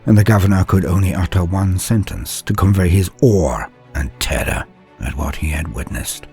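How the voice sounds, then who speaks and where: A man's voice: low-pitched, normal speed, darkish tone. A man reading scripted stories as a narrator, with a solemn, theatrical, dramatic delivery. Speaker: narrator of fan fiction war tales. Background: music.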